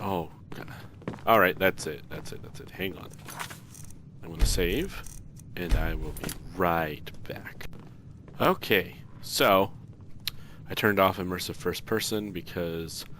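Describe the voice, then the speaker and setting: Low-voiced man doing casual game commentary. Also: a bit bright. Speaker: video game let's player. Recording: clear background noise, game sounds.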